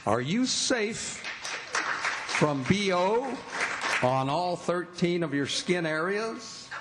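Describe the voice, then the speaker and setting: A man's voice: high voice, animated, takes it slowly, a crowd in the background, noisy background. A man working as a radio announcer, reading scripted credits and advertisements in old-time radio style.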